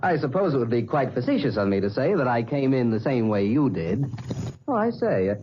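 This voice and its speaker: A man's voice: mid-range voice, big pitch swings, brisk, a little hiss or hum, natural voice. An actor playing a masked, mysterious playwright who speaks with suave, cold menace and narrates his own story, in 1940s radio acting. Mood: cold, cutting. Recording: muffled old radio recording with music.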